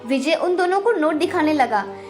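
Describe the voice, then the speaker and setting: A woman narrates a children's tale from a script. Her voice is very high and highly animated, with expressive storytelling delivery and music underneath. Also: normal speed.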